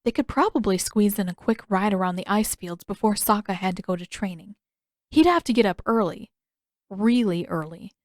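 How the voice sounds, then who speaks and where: A woman reading a story aloud as narrator in an expressive, scripted style. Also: mid-range voice, moderate pace, no echo, studio quality.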